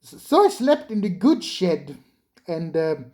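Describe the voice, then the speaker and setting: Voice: medium pitch, very animated, slow, darkish tone. A storyteller voicing an impatient, proud little male engine who stammers when flustered.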